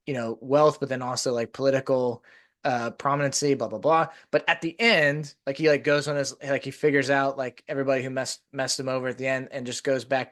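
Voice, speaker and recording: A man's voice: medium pitch, fairly bright tone. A man hosting a podcast. He chats casually and rambles through long stories, with many "like" fillers. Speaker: podcast host. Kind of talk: casual conversation.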